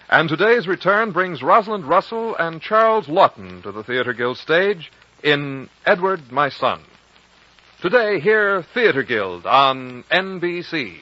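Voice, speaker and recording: A man with an American accent reading a formal, polished script as a 1950s radio network announcer. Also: on the high side. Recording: dull vintage radio broadcast.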